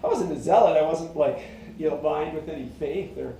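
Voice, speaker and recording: A man's voice: a little fast, warm tone, a little hiss or hum. Reflective man, very echoey room. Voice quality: high tenor voice. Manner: meandering, reflective, many fillers and restarts. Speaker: singer-songwriter. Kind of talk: rambling casual monologue. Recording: very echoey room, mic at a distance.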